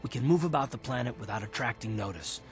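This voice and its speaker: A man's voice: mid-range voice, animated, fast, faint music behind, lightly acted. An actor playing a resolute, weary male Republic soldier, speaking earnestly in a dramatic video game style, explaining with some urgency. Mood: earnest, determined.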